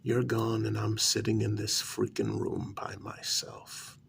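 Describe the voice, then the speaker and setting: A man acting as a frustrated, delusional psychiatric patient in an ASMR role-play. His voice is soft and breathy, slipping into whispers, with a gravelly fry. He speaks slowly and haltingly, as if sure he made this world, over faint background noise. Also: lowish voice, sing-song, exaggerated voice. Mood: resigned, curt. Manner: conversational, halting, pointedly questioning.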